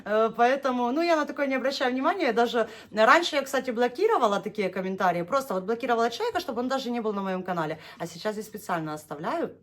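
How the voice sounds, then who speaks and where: A woman's voice: a little high, lively intonation, fast talker, hardly any pauses, a bit bright. A young woman hosting a knitting vlog, chatting casually and gushing over yarn, laughing often and drawing out her words when she names colors. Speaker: knitting vlogger showing yarn. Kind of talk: casual unboxing chat.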